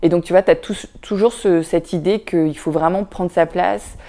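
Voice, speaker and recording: Podcast guest, woman, low mellow voice, candid and halting, lots of fillers. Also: steady tone. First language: Vietnamese. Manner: thoughtful, many fillers and restarts, addresses listener with "tu vois". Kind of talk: casual reflective interview talk.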